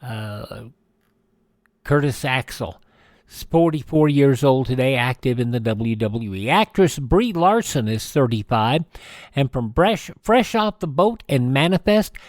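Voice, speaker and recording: A man's voice: medium pitch, expressive, slow pace, dry recording, clean studio sound. A man hosting a radio program in a casual, chatty style, rambling a little and correcting himself as he goes. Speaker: radio show host. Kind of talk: casual radio host chat reading celebrity birthdays and introducing old radio shows.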